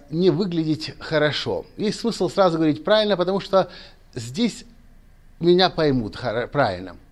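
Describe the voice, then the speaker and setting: A man's voice: a little high, darkish tone. A man speaking straight to camera as a motivational speaker, earnest and lecturing, often restarting his sentences. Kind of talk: direct-to-camera monologue.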